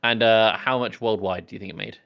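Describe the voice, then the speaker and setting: A man's voice: on the low side, steady tone, fairly quick, gravelly, a bit bright, clear, resonant tone. A man co-hosting a casual film podcast with jokey, sweary banter. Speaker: podcast co-host.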